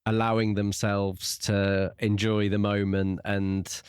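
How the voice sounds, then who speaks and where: A man co-hosting a podcast, explaining a book in a measured, conversational way. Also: fairly low pitch, flat delivery, smooth, no room sound, clean studio sound. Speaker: podcast co-host. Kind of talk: casual book discussion.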